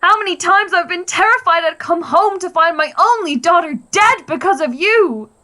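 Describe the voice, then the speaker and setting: A woman playing an exasperated, sharp-tongued ex-wife and worried mother in a radio play. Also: very high voice, moderate pace. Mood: exasperated, bitter.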